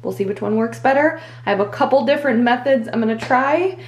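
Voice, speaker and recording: A woman's voice: fairly high pitch, a little fast. A young adult woman giving casual, upbeat voice-over commentary for a home cleaning video, with small filler words. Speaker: cleaning vlogger. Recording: echoey room with faint background music.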